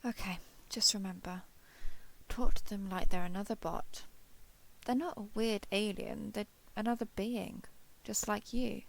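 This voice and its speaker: A woman playing a giant friendly alien robot soldier in an audio roleplay, gentle, reassuring and a little awkward with the listener. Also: mid-range voice, fairly even intonation, measured pace, creaky. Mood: nervous self-coaching. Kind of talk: scripted roleplay audio drama addressed to listener.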